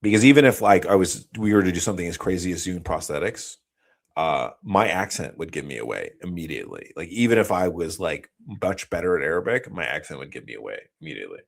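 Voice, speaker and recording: Low-voiced man from the US, former operator, laid-back storyteller in a live interview. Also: sing-song, vocal fry. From United States. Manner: slow, explanatory storytelling with frequent self-corrections. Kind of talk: casual podcast interview.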